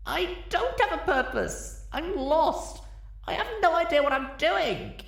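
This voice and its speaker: A man's voice: extremely high pitch, expressive, slow, creaky, fairly bright tone, echoey room, far from the mic, pitched above the performer's own voice, exaggerated voice. A man voicing an anxious, self-doubting young female pony heroine from a story. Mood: anxious, lost.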